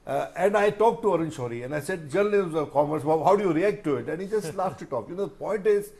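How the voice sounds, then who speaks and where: A middle-aged man with an Indian accent speaking as a journalist on a discussion panel, deliberate and emphatic, often repeating phrases. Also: on the high side, lively intonation, darkish tone.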